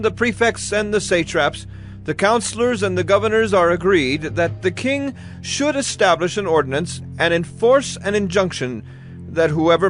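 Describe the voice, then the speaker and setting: A man's voice: high voice, a bit bright. A man playing a formal, scheming court official petitioning a king in a scripture drama, ceremonious and insistent.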